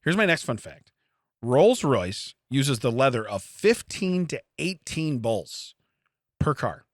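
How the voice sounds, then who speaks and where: A man's voice: mid-range voice, big pitch swings, slow pace, no echo, clean studio sound. A middle-aged man co-hosting a comedy podcast, rambling through odd facts in casual chat and repeating phrases for comic emphasis.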